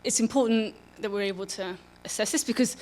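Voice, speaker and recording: Female lecturer, informal and halting, interrupts herself, addressing a public event on a microphone. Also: medium pitch, darkish tone. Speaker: public programs manager and lecturer. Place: live public lecture at an aquarium. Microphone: microphone for live streaming.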